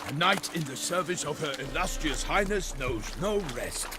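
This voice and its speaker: An actor playing a proud, earnest knight errant in a video game, speaking in a formal, flowery, theatrical way. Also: male voice, on the high side, expressive, moderate pace, background noise, natural voice. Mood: courtly, earnest. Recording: music behind.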